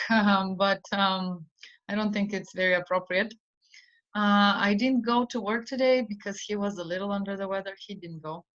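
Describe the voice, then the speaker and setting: A woman teaching an English idiom to adult learners, explaining step by step with frequent fillers and restarts. Her voice sits at an ordinary middle pitch. The recording sounds slightly dull and lo-fi. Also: a bit bright. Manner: explanatory, frequent fillers and restarts, reads example sentences aloud.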